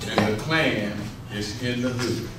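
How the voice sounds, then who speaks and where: A man's voice: lowish voice, takes it slowly. A man reading a solemn historical script aloud in a readers theater rehearsal. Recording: very echoey room, distant mic, clear noise.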